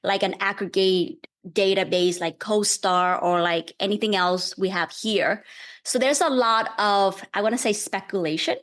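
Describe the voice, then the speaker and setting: Brief: woman in her 30s, Vietnamese accent, mid-pitched, patient and friendly, unpacks ideas step by step. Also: measured pace, fairly bright tone. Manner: friendly, thoughtful, explains things step by step. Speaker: guest real estate investor. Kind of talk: casual podcast interview.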